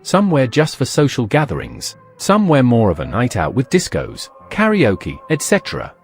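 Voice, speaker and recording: A man's voice: medium pitch, wildly swooping pitch, moderate pace, faint music behind. A man narrating a documentary from a script.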